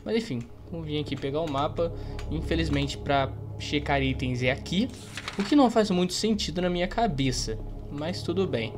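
A man's voice: a little high, moderate pace, over music. A young man with a Brazilian accent giving playful, slangy, casual gameplay commentary as a YouTuber. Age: young adult. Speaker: gaming YouTuber.

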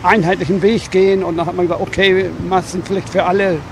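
A man's voice: high voice, level tone, a little fast. An older man talking casually as a passer-by in a roadside interview on a handheld mic. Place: beside a road outdoors. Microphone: interviewer's handheld microphone. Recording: clear car and street noise.

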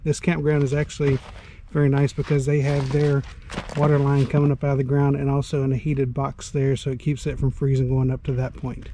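Southern middle-aged man, mellow and even-toned, casual how-to vlog, faint outdoor hiss. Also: medium pitch, brisk. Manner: easygoing, plain-spoken, with frequent uh fillers. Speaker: RV vlogger. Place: outdoors at a snowy campground and inside an RV.

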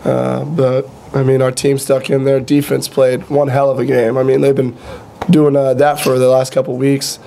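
Hoarse young man, flat blunt answers. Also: mid-range voice, normal speed, slight background noise. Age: young adult. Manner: laid-back, blunt and self-critical. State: losing his voice. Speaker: college quarterback. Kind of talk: postgame press conference answers.

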